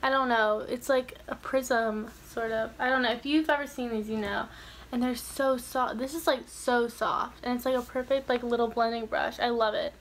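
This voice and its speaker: A young woman with a somewhat high voice talks casually to her camera. She rambles and wanders into asides in the style of an informal vlog. The amateur bedroom recording carries faint noise. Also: steady tone, normal speed. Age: young adult. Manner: chatty, rambling, trailing off into asides. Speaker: vlogger. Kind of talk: casual chat to camera, showing shopping. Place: small bedroom, indoors.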